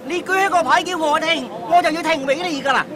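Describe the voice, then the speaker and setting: A man's voice: very high voice, quick pace. An actor playing a pushy older male horse-racing fan who mocks and threatens to complain. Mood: rude mocking. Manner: rude, mocking, blustering. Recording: noisy station crowd.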